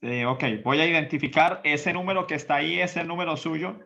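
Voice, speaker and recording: A man's voice: a little high, brisk, creaky, bright, forceful tone, highs cut off. A man hosting an online church meeting, politely addressing speakers by name with short, formal turns. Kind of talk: moderating a church call. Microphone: computer mic on a call.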